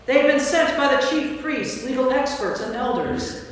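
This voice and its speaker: A woman's voice: high-pitched, expressive, normal speed. A woman narrator reading scripture from a script in a staged church reading. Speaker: narrator, priest. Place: church, recorded from a distance. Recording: very echoey church, distant mic, faint noise.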